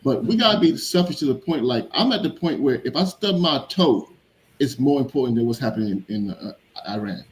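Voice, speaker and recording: A man's voice: medium pitch, lively intonation, fairly quick, poor audio. A man on an online panel discussion, making a passionate argument and stressing his key words. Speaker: panel member.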